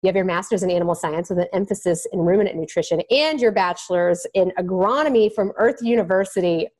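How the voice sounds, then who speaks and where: A woman's voice: on the low side, fast talker, a little mellow. A woman hosting a podcast, introducing the show and interviewing a guest with bubbly enthusiasm. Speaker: podcast host. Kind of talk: scripted intro then casual interview.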